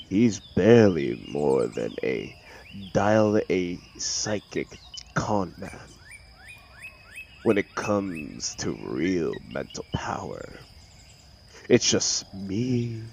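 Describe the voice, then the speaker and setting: Male actor as arrogant telepathic villain, extremely low voice, very slow drawn-out sneer, audio drama, faint background noise. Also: creaky, darkish tone, exaggerated voice. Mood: threatening. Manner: sneering, sarcastic, theatrical, drawn-out delivery. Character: arrogant mocking telepathic male supervillain, threatening and contemptuous. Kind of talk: dramatic audio roleplay monologue.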